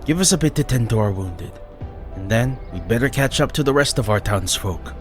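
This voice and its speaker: A resolute ninja master from a fantasy tale, performed by a man. The voice sits a little low, earnest and measured, with clear music underneath. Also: lively intonation, close to an everyday voice. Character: resolute male ninja master leading weary townsfolk. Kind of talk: dramatised fantasy audiobook character.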